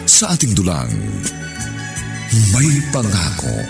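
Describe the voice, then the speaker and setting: A man's voice: sits low, wildly swooping pitch, slow pace. A man giving a scripted, dramatic announcer's introduction to a radio drama. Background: music.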